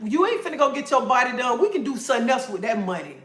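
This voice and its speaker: A woman's voice: on the high side, big pitch swings, fast, a bit bright. Sassy woman, livestream pep talk, preachy and direct, echoey room. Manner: sassy, preachy, calls listeners honey and baby.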